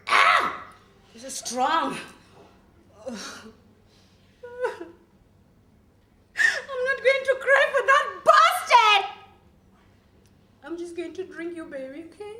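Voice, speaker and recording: A woman's voice: high-pitched, a bit bright. A woman playing a volatile, heartbroken young woman in a melodramatic acting monologue. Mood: bitter, wounded. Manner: melodramatic, tearful outbursts and drawn-out pleading. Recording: echoey room.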